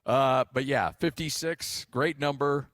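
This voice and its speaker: An American man hosting sports radio, bantering casually and telling stories in a jokey, animated way. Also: mid-range voice, a little slow, no room sound, studio quality.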